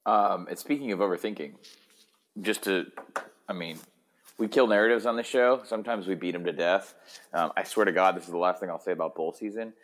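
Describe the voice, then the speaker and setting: A man hosting a sports podcast, hoarse and hungover, rambling casually with a sardonic streak. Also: low-pitched, brisk, slight background noise. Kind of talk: casual football podcast chat.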